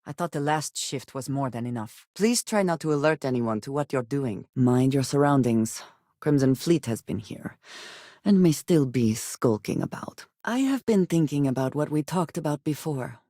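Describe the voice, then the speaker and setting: A guarded, principled spacefaring woman for a video game. She chooses formal, measured words and speaks with earnest care. The recording is dry and close, with no room around the voice. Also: extremely low pitch, animated, warm tone, no echo. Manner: formal, measured phrasing with few contractions. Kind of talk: video game character dialogue.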